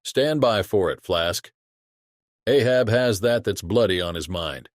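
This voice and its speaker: A salty, talkative sailor, played by a man spins a yarn to his shipmate in a dramatized audiobook. The voice is on the low side, colloquial and full of exclamations, recorded dry and close. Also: lively intonation, measured pace, no room sound. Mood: confiding wonder.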